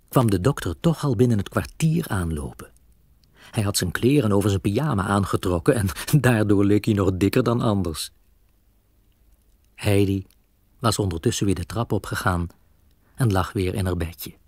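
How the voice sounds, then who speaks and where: A man tells a children's story in a scripted storybook style. His voice is fairly low and husky, recorded close and dry. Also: moderate pace, long pauses, a little mellow, no room sound. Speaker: narrator of a children's audio play.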